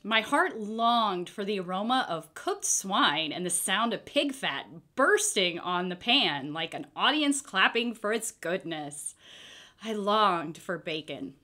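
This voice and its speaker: A woman reads a children's story aloud. Her voice is bright and forceful, with wide, lively swings in pitch. The delivery is expressive and theatrical. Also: slow. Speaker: storybook reader. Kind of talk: dramatic children's book read-aloud.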